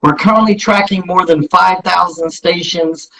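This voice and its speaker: A man with a husky voice speaking as an interview guest, explaining his company in an earnest, emphatic way and repeating key points. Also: high voice, normal speed, pushed and bright, low-quality recording. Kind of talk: promotional interview talk.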